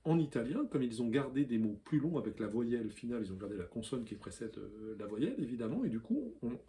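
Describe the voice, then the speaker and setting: A man talks straight to camera, explaining how words are spelled. His voice is mellow and warm, and his pitch jumps around a lot. He stops often to spell out letters and read example words, with plenty of fillers along the way. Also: sing-song, fast. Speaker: language columnist and video host.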